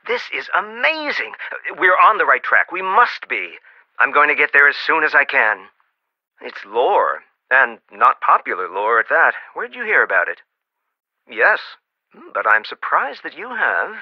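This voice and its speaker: An actor playing a dry-witted archaeologist in a video game, talking in a wry, encouraging way. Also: male voice, medium pitch, big pitch swings, unhurried, no room sound. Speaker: archaeologist on the phone to the player. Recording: dull lo-fi game audio, dry.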